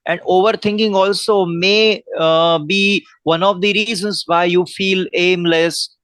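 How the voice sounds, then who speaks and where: A man with an Indian English accent tutoring English in a one-on-one video call lesson, giving earnest advice with frequent pauses and fillers. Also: high voice, steady tone, a bit bright, no echo.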